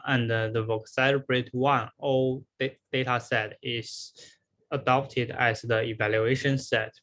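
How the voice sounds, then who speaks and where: A man presents a research paper in a slow, measured delivery at a medium pitch. He sounds like he is following prepared material, moving haltingly with pauses and repeated words. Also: a bit bright. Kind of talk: scripted academic presentation.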